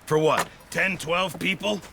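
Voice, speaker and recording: An actor playing a grumbling, excitable camp cook and former sailor in a video game. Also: male voice, high voice, unhurried, gravelly, slight background noise, exaggerated voice. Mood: fretful, complaining. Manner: complaining, excitable. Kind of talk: video game dramatic acting.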